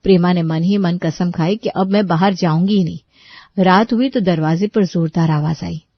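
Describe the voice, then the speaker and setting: Woman telling a scripted kids moral story, highish voice, no room sound, bit muffled. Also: normal speed, dry recording.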